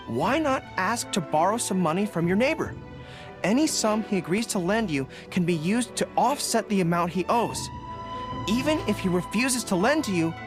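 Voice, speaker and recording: An actor playing an earnest, dutiful young canine general in a video game, speaking in a deliberate, thoughtful way. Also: male voice, sits high. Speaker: army general answering readers' letters. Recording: background music under the voice.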